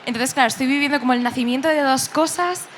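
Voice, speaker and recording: A woman's voice: high voice, fast, a bit bright, a little hiss or hum. A woman who is a manga artist guest, talking enthusiastically and laughing easily in a casual interview. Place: busy convention hall.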